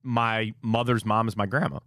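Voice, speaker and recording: A man with a Midwest Cleveland accent, hosting a casual sports talk show in a studio, animated and opinionated, rambling with restarts. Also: fairly low pitch, normal speed, no echo.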